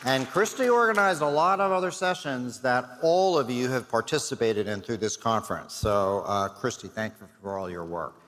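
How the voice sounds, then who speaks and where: A middle-aged man, hoarse and losing his voice, giving host remarks and thanks at a conference with a self-deprecating, filler-heavy manner. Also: mid-range voice, expressive, moderate pace, few pauses, a bit bright, some chatter behind, slight background noise. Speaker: executive director. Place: large conference hall. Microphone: stage mic. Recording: long hall reverb.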